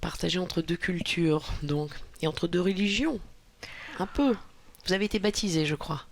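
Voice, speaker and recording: A man interviewing a guest on the radio, prompting her with short, gentle questions. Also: sits high, normal speed, vocal fry, dry recording.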